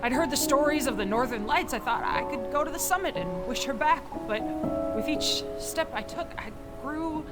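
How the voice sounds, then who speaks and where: Woman as high, halting, remorseful companion. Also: animated, over loud music. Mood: guilty confession. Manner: halting, stammering, gentle. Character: remorseful, hesitant companion burdened by grief. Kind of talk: family audio drama acting.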